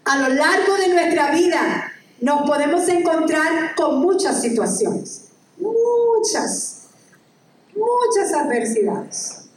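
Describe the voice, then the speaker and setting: A high-pitched woman delivers an animated, exclamatory sermon, reading scripture aloud between bursts of enthusiasm. Her voice comes from a distance and rings through a large, echoey hall. A faint room ambience sits under her. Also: slow, slight background noise. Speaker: preacher.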